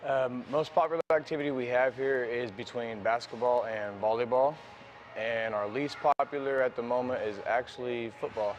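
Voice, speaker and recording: A man's voice: medium pitch, fairly even intonation, moderate pace, muffled recording, slight background noise. A man working at a sports venue answering interview questions plainly.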